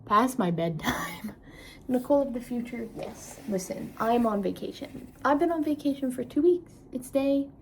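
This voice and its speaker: A woman's voice: on the high side, animated, moderate pace, a little hiss or hum. A woman in her twenties chatting casually to camera as a travel vlogger, self-mocking and tired at day's end. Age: 20s. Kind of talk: casual vlog chat to camera.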